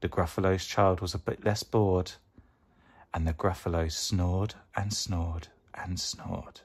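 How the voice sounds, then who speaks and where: A man with a low-pitched voice narrates a children's story in rhyme. He reads expressively, as a storyteller for young listeners. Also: steady tone, slow, slightly rough.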